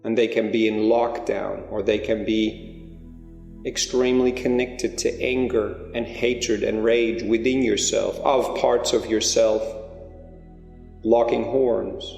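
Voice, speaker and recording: A low male voice leads a guided meditation in deliberate, measured phrases, leaving long pauses between them. The sound is washed in echoey, hall-like reverb, with music playing behind him. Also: little pitch movement, gravelly, darkish tone. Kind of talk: guided meditation, spiritual channeling.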